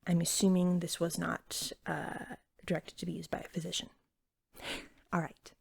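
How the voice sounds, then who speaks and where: A woman doing soft-spoken ASMR roleplay as an eager, friendly shop cashier, chatty and slightly awkward, often dropping into whispers. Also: fairly low pitch, flat delivery, normal speed, gravelly, breathy edge. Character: eager, friendly young female magical pet shop cashier.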